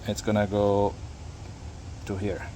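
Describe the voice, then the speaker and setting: A man narrating a casual hands-on repair tutorial, speaking haltingly and repeating words as he works. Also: on the low side, completely flat delivery, warm tone, a little hiss or hum. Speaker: hobbyist demonstrating a radio repair.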